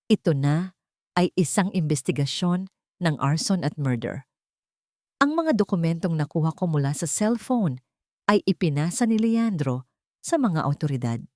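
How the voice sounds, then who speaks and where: Woman, lowish voice, dramatic scripted storytelling, first-person audiobook narrator, dry sound. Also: lively intonation, moderate pace, darkish tone, dry recording.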